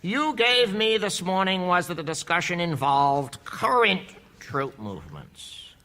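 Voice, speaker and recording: A man's voice: high voice, very animated, pushed and bright, full character voice. An actor playing a firm, patient male judge who runs a courtroom hearing with authority. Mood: stern. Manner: authoritative, deliberate, emphatic. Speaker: judge presiding over a hearing.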